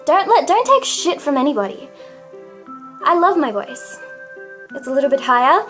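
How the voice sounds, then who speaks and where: A young woman, probably in her teens, talking to camera in a confessional vlog, with a high, girlish voice and a dramatic, self-mocking manner. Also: very animated, moderate pace, fairly bright tone, faint music behind. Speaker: vlogger.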